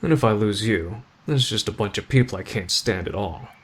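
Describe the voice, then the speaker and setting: A man acting in an ASMR roleplay as a gruff, aloof teenage delinquent, deadpan and terse but protective of a friend. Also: on the low side, fairly quick. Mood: gruffly caring.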